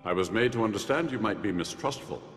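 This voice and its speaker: An actor playing a haughty, condescending mage with dry, formal delivery in a fantasy video game. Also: male voice, lowish voice, expressive, quick pace, a bit bright, long reverb tail. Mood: dry disdain. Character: haughty, dry-witted male mage, scholarly and arrogant. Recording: game music behind the voice.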